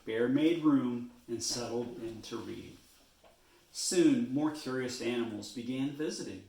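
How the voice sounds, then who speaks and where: A man reading a children's storybook aloud in an expressive way, stretching words for emphasis. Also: mid-range voice, vocal fry, echoey room, low-quality recording. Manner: expressive, drawn-out phrasing with emphasis.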